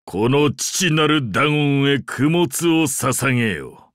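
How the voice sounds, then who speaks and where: A man voicing an ancient, imperious sea-god father in a Japanese game, speaking in a pompous, archaic and deliberate way with grand indulgence. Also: mid-range voice, animated, a bit bright, no room sound, clean studio sound. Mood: amused, indulgent. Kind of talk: Japanese game character voice acting.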